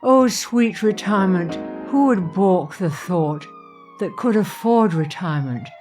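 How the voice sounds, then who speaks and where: A woman performer reciting poetry dramatically in a measured, deliberate way, recorded in a studio. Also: medium pitch, lively intonation. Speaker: spoken-word performer. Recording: studio recording with music behind. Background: music.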